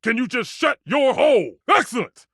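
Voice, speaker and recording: A man's voice: extremely high pitch, big pitch swings, moderate pace, a bit bright, slightly rough, no room sound, clean studio sound, exaggerated voice. A man voicing a passionate, temperamental head chef in a video game, theatrical and emphatic in delivery. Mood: commanding. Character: temperamental, passionate male chef, a living kitchen stove. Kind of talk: video game character acting.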